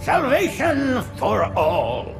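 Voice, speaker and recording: An actor voicing a sanctimonious alien prophet in a video game, preaching in a lofty, solemn, reedy voice. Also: male voice, very high-pitched, huge pitch swings, extremely slow, heavily acted. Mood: pious grandeur. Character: sanctimonious alien prophet, pious, grandiose zealot. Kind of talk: cinematic video game acting. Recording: clear music behind the voice. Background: dramatic music.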